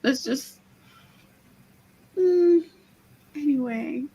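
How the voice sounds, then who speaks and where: A woman losing her voice, hosting a casual stream from home, talking softly and laughing often. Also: high voice, drawn-out words, vocal fry, highs cut off, over a noisy crowd. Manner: soft-spoken, laughs often. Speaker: livestream host. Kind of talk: casual chat on a writing stream.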